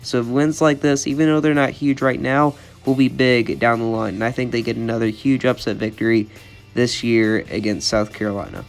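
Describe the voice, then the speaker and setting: Flat-voiced congested young man. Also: medium pitch, moderate pace, faint music behind. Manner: monotone, opinionated, repeats "I think". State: congested from a cold. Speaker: sports channel host. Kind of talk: college football schedule predictions.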